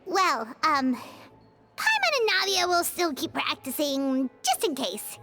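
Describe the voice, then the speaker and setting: Tiny childlike fairy sidekick, squeaky and very high, lively swoops, chirpy, excitable, anime game. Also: female voice, a little slow, gravelly, over music, exaggerated voice. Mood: chipper, reassuring. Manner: squeaky, speaks of self in third person.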